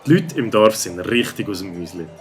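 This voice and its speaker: A man with a low voice narrates a children's story. Soft music runs behind him. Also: expressive, a little fast.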